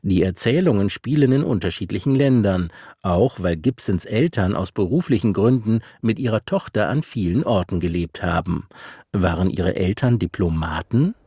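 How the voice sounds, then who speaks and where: Deep husky male narrator. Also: animated, warm tone, no room sound, clean studio sound. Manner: measured, literary feature narration. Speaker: radio reporter. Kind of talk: scripted narration.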